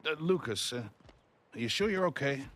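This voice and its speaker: An actor playing a genial older doctor and mentor in a video game, jovial and chatty. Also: male voice, on the high side, lively intonation, moderate pace, fairly bright tone.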